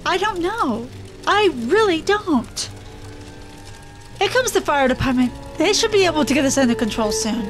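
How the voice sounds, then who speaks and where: A woman's voice: high voice, expressive, normal speed. A woman acting in an audio drama as a concerned, helpful neighbour. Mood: concerned, apologetic. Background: faint background music.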